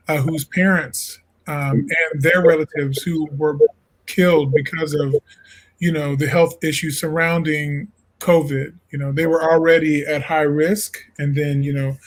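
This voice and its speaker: A jazz singer from Illinois talks as an interview guest in a fairly high male voice. He is relaxed and thoughtful, and says "you know" a lot. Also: rough recording. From Illinois, USA. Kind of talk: casual interview talk.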